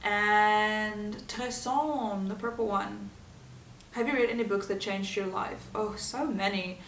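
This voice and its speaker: A woman's voice: medium pitch, fairly even intonation, slow, fairly bright tone. A giggly woman from South Africa with a South African accent chats casually about herself. Her first language is Afrikaans. She sits a little back from the mic in a roomy, echoing space, with a faint hiss behind her. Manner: chatty and giggly, laughing often. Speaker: vlogger. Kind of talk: casual get-to-know-me chat. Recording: roomy echo, voice from a distance.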